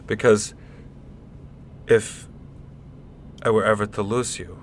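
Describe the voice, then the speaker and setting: A man playing a deadpan, casual, slightly self-important guy who explains haltingly in a comic radio play. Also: on the low side, slight background noise. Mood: deadpan, lecturing.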